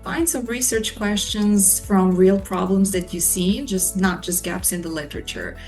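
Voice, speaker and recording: A woman's voice: medium pitch, steady tone, normal speed, a little mellow. A woman in her thirties from Croatia with a Croatian accent, an assistant professor earnestly explaining her research in a Q&A, with faint music behind. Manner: earnest, explanatory, lists points. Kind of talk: scripted Q&A monologue.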